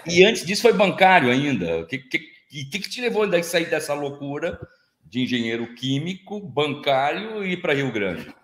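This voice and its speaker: Um homem na casa dos cinquenta, apresentador de podcast, fazendo perguntas informais numa chamada de vídeo. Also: male voice, a little high, very animated, moderate pace, fairly bright tone. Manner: informal, tags questions with "né" and "hein". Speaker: podcast host. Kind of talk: interview questions. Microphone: video call. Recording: echoey room on a video call.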